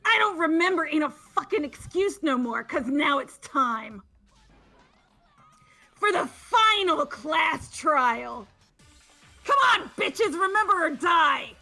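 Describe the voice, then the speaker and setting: Manic game villainess, played by a woman: high, piercing, menacing, cruelly theatrical. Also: animated, slow pace, soft background music, exaggerated voice. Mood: vicious taunting. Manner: theatrical, menacing, swings from coaxing to yelling. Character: manic cruel villainess, taunting and foul-mouthed.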